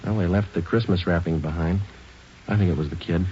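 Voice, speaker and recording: A man playing a terse police detective in an old radio drama, narrating and talking in a deadpan, clipped, matter-of-fact way. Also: low voice, fairly quick, a little hiss or hum, natural voice. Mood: businesslike. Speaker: lead actor and narrator. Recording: muffled old radio recording with music.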